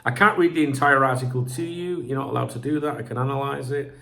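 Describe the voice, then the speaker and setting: Bloke rambling about football, bit croaky from a sore throat, keeps clearing his throat. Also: medium pitch, fairly even intonation, fast, bright, forceful tone. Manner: rambling, chatty, clears throat often, swears casually. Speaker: football channel host. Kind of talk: casual chat commentary.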